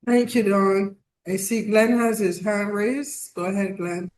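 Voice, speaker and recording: A woman's voice: mid-range voice, steady tone, unhurried, a little mellow. A woman hosting an online meeting, calling on each participant in turn and stumbling over a few names. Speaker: host. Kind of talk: online meeting roll call. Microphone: video call.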